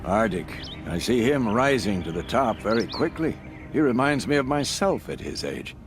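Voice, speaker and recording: An actor playing a dignified, guarded older veteran hunter in a video game, speaking in a measured, formal way. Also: male voice, mid-range voice, very animated. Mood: proud. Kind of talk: dramatic video game acting. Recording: loud music behind.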